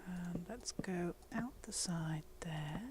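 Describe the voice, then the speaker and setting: A woman talking through a game build in a soft, half-whispered voice, wheezy from a chest infection. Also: on the low side, slow pace, noisy background. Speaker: Minecraft building tutor. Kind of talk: casual game tutorial voice-over.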